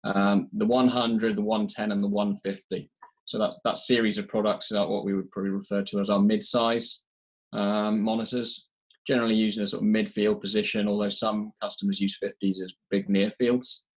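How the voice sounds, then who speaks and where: A man giving technical answers in an interview, measured and explanatory, pausing to find his words. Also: fairly low pitch, level tone. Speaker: audio company representative being interviewed.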